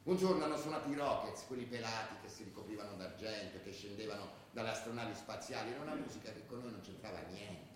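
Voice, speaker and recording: A man's voice: on the low side, fast, hardly any pauses, lightly acted. An actor playing a streetwise male ultra who looks back on a rebellious youth, in colloquial radio drama storytelling. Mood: gleeful, defiant. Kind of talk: theatrical radio drama monologue. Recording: very echoey, distant, faint noise.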